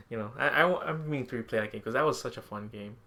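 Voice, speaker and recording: A man's voice: mid-range voice, fast, sharp tone. A man co-hosting a casual video game podcast, often chuckling as he talks. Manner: giggly, frequently chuckles while talking. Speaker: podcast co-host.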